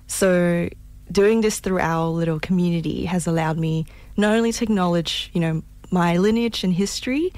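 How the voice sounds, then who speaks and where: A woman from Malaysia co-hosting a radio show, speaking softly and reflectively with many pauses and fillers. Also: on the low side, dry recording. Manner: soft-spoken, hesitant with many fillers. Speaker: radio co-host. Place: radio studio.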